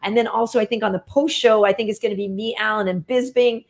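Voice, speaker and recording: A woman's voice: a little high, steady tone, quick pace. Easygoing woman hosting a chat show. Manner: upbeat, easygoing, laughs often. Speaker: host. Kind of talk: casual chat, show sign-off.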